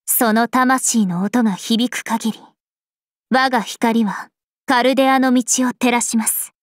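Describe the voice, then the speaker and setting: Regal, slow-spoken spirit princess, acted by a woman. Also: fairly high pitch, steady tone, no room sound, close to an everyday voice. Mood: serene, reflective. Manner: formal, measured, elegant. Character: regal, serene young female planetary spirit princess. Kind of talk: scripted anime game voice lines. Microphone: studio microphone. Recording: music behind a close dry studio voice.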